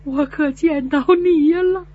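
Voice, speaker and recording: A woman voicing a gravely ill old mother, speaking weakly and tearfully in a spoken story. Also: sits high, takes it slowly, phone-quality sound, pitched above the performer's own voice. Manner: weak, pleading, tearful.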